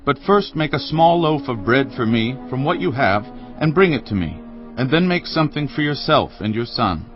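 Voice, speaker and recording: A man's voice: medium pitch, expressive, normal speed, highs cut off, soft background music, lightly acted. A man playing a resolute, commanding prophet in a dramatised audio Bible, speaking in an earnest, declamatory way. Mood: reassuring, firm.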